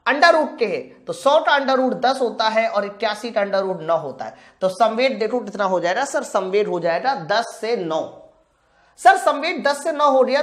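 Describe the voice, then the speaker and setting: High-voiced woman teaching. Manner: asks questions and answers them herself; addresses students directly and informally. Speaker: physics teacher for exam preparation. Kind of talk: live lesson, working through practice questions step by step.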